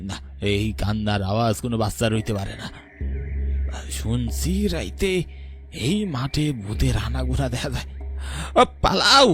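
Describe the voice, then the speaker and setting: A man's voice: medium pitch, expressive, normal speed, pauses often, a bit bright, soft background music. A man playing a timid rustic village labourer in a horror cartoon, colloquial, with a rural Bengali dialect. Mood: frightened, alarmed. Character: timid rustic village labourer named Raghu, easily frightened. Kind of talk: dramatized horror cartoon dialogue.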